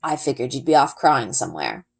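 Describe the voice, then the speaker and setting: A woman's voice: extremely low pitch, very animated, a little fast, vocal fry, breathy edge, voice pushed down, exaggerated voice. A woman voicing a scornful, mocking boy rival in a children's storybook. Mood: sneering. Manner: mocking, dismissive.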